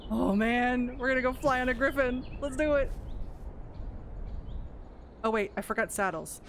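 A young woman casually commentating her Minecraft let's play, excitable and gushing over cute animals, a little hoarse. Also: fairly high pitch, normal speed, slight background noise. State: slightly hoarse. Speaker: gaming YouTuber.

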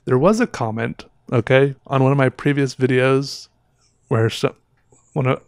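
A man in his thirties talks in a loose, rambling way, often cutting himself off mid-sentence. His voice has a gravelly edge and a slightly sore throat. It is recorded close on a headset mic in a small, dry room. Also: medium pitch, darkish tone, no echo. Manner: rambling, self-interrupting, chatty. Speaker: artist reviewing viewer art. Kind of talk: casual livestream commentary. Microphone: headset with boom mic.

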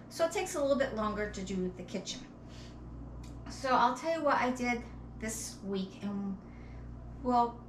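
A middle-aged woman explains things plainly, step by step, in a casual home vlog, recorded by a camera a few metres away in a kitchen. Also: a little high. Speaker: home cleaning vlogger. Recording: roomy echo, clear background noise.

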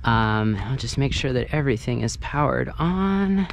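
Gravelly man explaining over room noise. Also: mid-range voice, lively intonation, unhurried. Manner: hands-on, informal explaining while working. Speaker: live sound engineer. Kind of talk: casual first-person tutorial walkthrough. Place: large carpeted banquet hall with wooden dance floor. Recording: noisy room ambience, clearly audible. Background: room noise and ambience.

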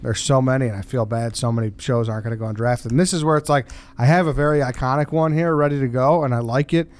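A man with a smooth, clear voice co-hosts a podcast in a studio. He rambles casually through his thoughts, sounding undecided. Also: medium pitch, fast, a little mellow. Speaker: podcast co-host.

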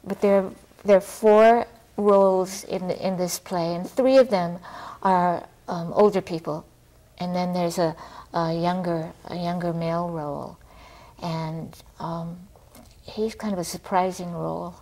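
A middle-aged woman with a fairly low voice talks casually in a studio interview. She speaks haltingly, often restarting a thought as she explains things as a director would. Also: steady tone, mellow, low-quality recording. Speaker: theater director, talk show guest. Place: TV studio, seated at a table.

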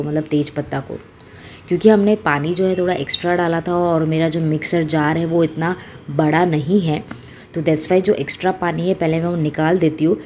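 A woman's voice: sits low, mellow, slight background noise. A woman in her thirties, a home cooking host, explaining a recipe step by step in a small home kitchen, in an easy, homely way with fillers. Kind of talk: casual recipe demonstration.

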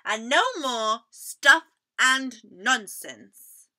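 A woman's voice: on the high side, sharp tone, heavily acted. A woman voicing a small, plucky male mouse in a storybook, fussy and commanding but cheerful. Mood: bossy. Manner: brisk, commanding, declaiming.

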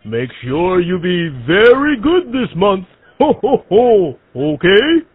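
Jolly old christmas gift-giver, high and slow, hearty ho-ho-ho, tinny phone line. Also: male voice, sing-song, breathy edge, exaggerated voice. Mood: jolly, doting. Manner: drawn-out, hearty ho-ho-ho delivery. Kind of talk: pre-recorded prank call acting.

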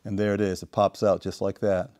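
A middle-aged man talks through a repair in a casual way. His voice sits fairly low and has a relaxed, dark tone. He explains deliberately, one step at a time. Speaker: DIY repair demonstrator. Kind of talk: casual hands-on tutorial narration. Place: indoor home workshop.

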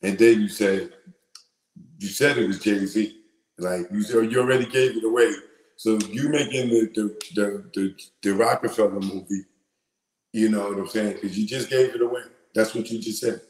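A middle-aged man with a big, lowish voice talks loosely and animatedly, full of slang, as he hosts his live commentary show. He speaks into an earphone mic, and the small room around him rings with echo. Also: fairly even intonation, normal speed, a little mellow. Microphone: wired earphone mic. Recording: echoey room.

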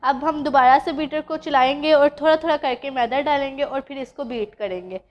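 A woman's voice: sits high, fairly quick. A woman from Pakistan, patiently explaining a recipe step by step as a cooking channel host. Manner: patient, instructive, addresses viewers directly.

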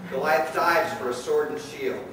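A man's voice: a little high, steady tone, normal speed, fairly bright tone. A man reading scripted narration for a radio play staged live. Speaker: narrator and director. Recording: very echoey hall, far mic, noisy.

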